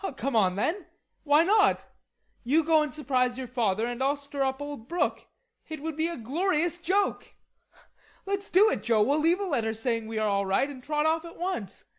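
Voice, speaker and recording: Woman voicing an indignant, hot-headed teenage boy, pitched up. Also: expressive, moderate pace. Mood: eager, coaxing. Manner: emphatic, protesting. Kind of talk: audiobook character reading.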